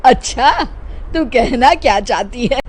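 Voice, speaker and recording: A woman's voice: a little high, expressive, slow. A woman voicing an old, traditional mother-in-law who is easily offended, nagging and dramatic, in a cartoon story. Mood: indignant scolding. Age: older.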